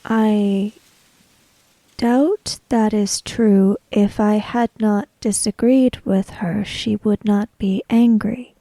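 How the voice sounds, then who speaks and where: A woman voicing a withdrawn, stubborn samurai-era woman in a tabletop game, speaking tersely and with deliberate care. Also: medium pitch, steady tone, mellow, no room sound, low-quality recording. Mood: resigned.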